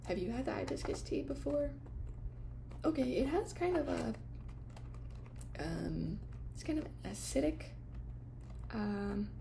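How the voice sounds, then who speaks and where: A woman speaking in a hushed, hesitant, unscripted way as an ASMR host playing a shop clerk helping a customer. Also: high voice, animated, a little slow, vocal fry, long pauses, background noise. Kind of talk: ASMR shop roleplay, soft-spoken and whispered.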